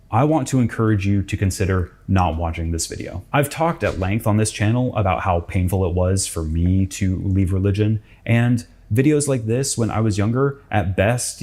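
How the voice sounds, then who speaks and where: A man's voice: sits low, a little mellow. A male YouTuber delivering scripted commentary to his audience, recorded in an untreated, echoey room. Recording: echoey room, faint background noise.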